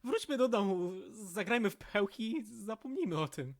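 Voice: mid-range voice, very animated, normal speed, natural voice. Actor as a slangy, chummy streetwise cartoon kid. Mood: philosophical. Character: chatty, slangy, streetwise kid eager to join the gang. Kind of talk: animated series fan dub.

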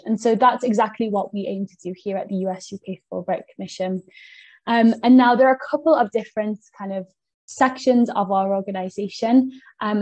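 A young British woman hosting an education webinar over a video call. Her tone is mellow and friendly, upbeat, with plenty of "um"s and "kind of"s. The sound is dry and close. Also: mid-range voice, no echo, rough recording. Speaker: webinar host and education advisor. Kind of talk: presenting a webinar.

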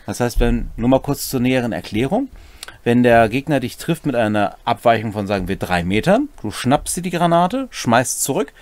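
A man's voice: mid-range voice, big pitch swings, normal speed, a little mellow, dry recording. A middle-aged man co-hosting a casual explanatory chat about tabletop game rules. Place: small cluttered home room.

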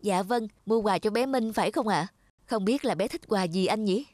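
A woman's voice: medium pitch, normal speed, darkish tone, dry recording, studio quality, lightly acted. A woman voicing an eager young male office assistant who speaks politely and deferentially in a cartoon. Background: music.

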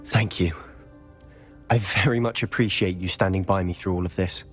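A man's voice: sits low, fairly even intonation, moderate pace, natural voice. A young man with a British accent playing a headstrong teenage wizard in a video game, intense and insistent. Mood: resolute. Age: young adult. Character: headstrong, defiant teenage schoolboy wizard, protective brother. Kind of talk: video game voice acting, dramatic dialogue. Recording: game audio with music underneath.

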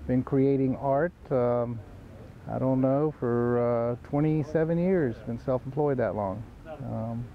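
A man in his fifties from North Carolina, a metalworker, talking casually about his career outdoors on a lapel mic. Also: medium pitch. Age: 50s. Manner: casual, unhurried, with fillers. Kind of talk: artist interview. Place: outdoors on a festival lawn. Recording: tinny audio with faint outdoor hiss.

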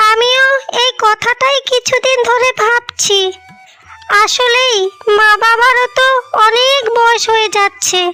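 Woman voicing a very high-pitched, girlish younger sister. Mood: concerned. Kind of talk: Bengali cartoon fairy-tale dialogue. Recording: music bed behind the voice.

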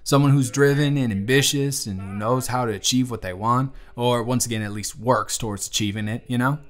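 A man's voice: medium pitch, fairly quick, close to an everyday voice. A man playing a teasing, affectionate young bartender with a Southern US accent and a folksy manner, in a romantic audio roleplay. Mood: sincere, tender. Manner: folksy, playful, affectionate drawl. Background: music.

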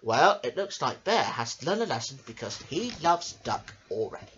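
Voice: medium pitch, sing-song, moderate pace, a bit bright, muffled recording, a little hiss or hum. A storyteller reading a children's picture book aloud in a home recording.

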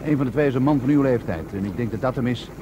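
A man's voice: mid-range voice, very fast, natural voice. An actor playing a deferential, watchful and earnest male aide in a Dutch radio drama. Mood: cautious, reporting. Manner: polite, earnest. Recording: dull sound, clear water and boat noise.

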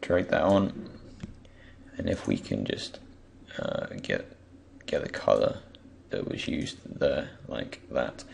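A young man with a croaky voice, just woken up, thinking aloud with many pauses as he talks through a software tutorial. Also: a bass voice, big pitch swings, a little hiss or hum. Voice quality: croaky, gravelly. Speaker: tutorial creator. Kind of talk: screen-recorded software tutorial.

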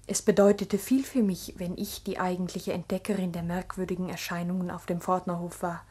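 Husky, earnest schoolteacher. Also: female voice, on the low side, steady tone, dark tone. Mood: reflective, earnest. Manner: deliberate, earnest, reflective. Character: earnest, ambitious village schoolteacher recounting events. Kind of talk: 1960s German-language radio drama narration.

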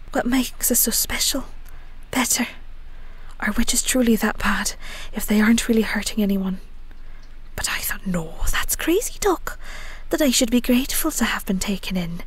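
Audio roleplay: young female werewolf, witch's daughter, played by a woman. Bitter, biting, husky half-whisper. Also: a little high, expressive, moderate pace, low-quality recording. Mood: reflective, bitter. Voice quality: breathy, whispery. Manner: hushed, biting, confrontational. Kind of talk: dramatic audio roleplay acting.

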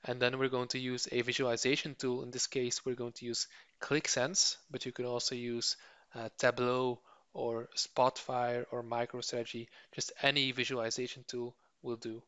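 A male instructor talks through course slides in a steady, explaining way, with a flat, even pitch line. His voice is smooth and clean, recorded close and dry. The sound is slightly dull and lo-fi. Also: normal speed, no room sound. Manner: steady explanatory delivery with occasional fillers. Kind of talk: slide-based course introduction. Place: voice-over for slides.

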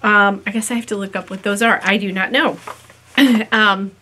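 Woman, 50s, raspy from a cold, bright voice, chatty, many ums, casual vlog to camera, small room at home. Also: mid-range voice, fairly even intonation, normal speed. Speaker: fiber-craft vlogger. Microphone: camera in front at desk distance.

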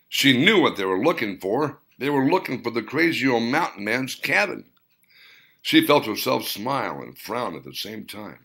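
A man reading his own novel as a dramatic audiobook, in deliberate, theatrical short phrases. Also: deep voice, vocal fry, sharp tone.

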